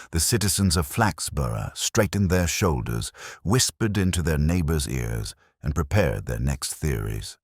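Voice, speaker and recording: A man reading a scripted mystery story in a measured, wry, literary way. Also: a bass voice, slightly rough, dry recording, clean studio sound. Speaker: narrator.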